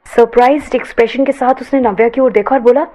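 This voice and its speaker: A woman's voice: fairly high pitch, fairly even intonation, quick pace, lo-fi sound. A woman narrating a scripted romance audio story expressively, mixing English words into her Hindi. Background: background music.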